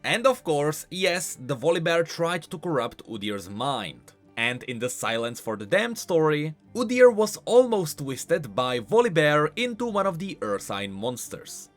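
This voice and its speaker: A man hosting a video game lore explainer, speaking in an animated, emphatic way. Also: on the high side, measured pace, few pauses. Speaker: host of a game lore channel. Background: music.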